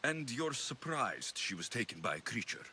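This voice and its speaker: An actor playing a rugged, wry but caring mercenary hero in a video game, with a Greek accent and a blunt, reassuring manner. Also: male voice, mid-range voice, big pitch swings, moderate pace, bright, forceful tone. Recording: noisy ambience behind the dialogue.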